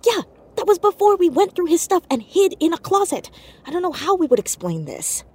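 A woman playing a stubborn, nervous, sardonic teenage girl in a naturalistic audio drama, with a husky, breathy voice. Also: very high voice, very animated, fairly quick, darkish tone. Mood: defensive.

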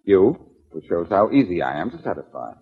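Smart-alecky old radio detective, teasing and sardonic, husky, swingy delivery, muffled vintage sound. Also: male voice, low-pitched, very animated, fairly quick, heavily acted. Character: cocky, wisecracking male amateur detective, taunting police. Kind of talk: 1940s radio detective drama acting. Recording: dull lo-fi 1940s radio recording.

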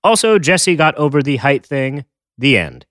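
A man's voice: a little high, very animated, moderate pace, fairly bright tone, no echo, studio quality. Wry, joking male podcast co-host. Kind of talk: casual podcast chat.

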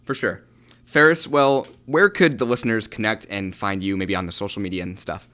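A man's voice: lowish voice, moderate pace, bright, forceful tone. A man hosting a podcast interview, asking questions and giving brief affirmations. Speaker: podcast host.

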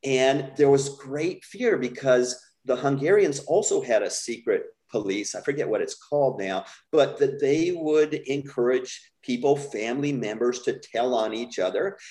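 An American man, middle-aged, speaking as an interview guest in a measured, candid, reflective way. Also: medium pitch, darkish tone. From United States. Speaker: podcast guest. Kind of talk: conversational interview storytelling.

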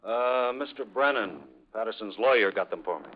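An actor playing a flustered, hesitant insurance official, puzzled, in 1950s radio drama style. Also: male voice, lowish voice, lively intonation, normal speed. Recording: muffled old radio recording.

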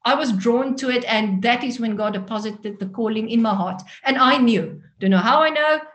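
Female interview guest, 40s, Afrikaans-speaking South African accent, slightly high voice, expressive personal storytelling. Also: normal speed. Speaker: guest author.

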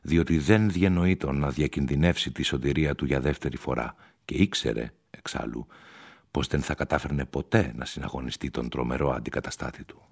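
A man narrating a literary radio play in a measured storytelling manner. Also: very deep voice, gravelly, breathy edge, exaggerated voice. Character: male storyteller of a gothic theatre tale.

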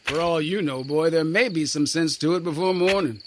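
A man voicing a big, gruff but kindly male army sergeant, speaking in a folksy drawl. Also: normal speed, a little hiss or hum, natural voice. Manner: folksy drawling dialect, booming then gentle.

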